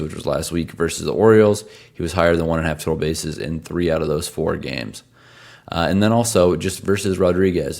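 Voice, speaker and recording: Male host, hoarse and worn out, gravelly and extremely low, chatty stat-heavy betting picks. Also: a little mellow. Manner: conversational, rattles off stats. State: hoarse, losing his voice; tired from travel. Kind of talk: casual sports betting analysis.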